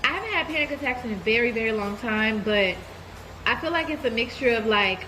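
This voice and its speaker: A woman's voice: fairly high pitch, fairly quick, noisy background. A woman with a stuffy cold voice, chatting candidly to her viewers in a casual life update. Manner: relaxed, candid, addresses viewers as y'all. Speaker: vlogger giving a life update. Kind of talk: casual chat to camera.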